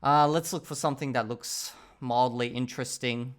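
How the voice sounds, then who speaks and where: A man's voice: mid-range voice, fairly even intonation, normal speed. A man hosting a casual talking-head video, rambling and chatty, often stopping to check in with the viewer. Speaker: channel host reviewing his language learning.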